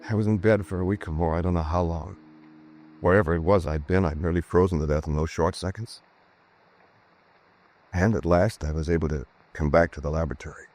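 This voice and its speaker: An actor in a 1940s radio drama playing a haunted scientist who narrates his ordeal in a brooding, hesitant way with dramatic pauses. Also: low-pitched, a little mellow. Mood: grave, brooding. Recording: vintage radio recording with music behind.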